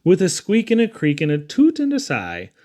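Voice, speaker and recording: A man's voice: sits high, wildly swooping pitch, normal speed, a little mellow. Man reading children's verse theatrically. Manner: theatrical, storytelling delivery with dramatic emphasis. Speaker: reader. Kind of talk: expressive poetry reading for children.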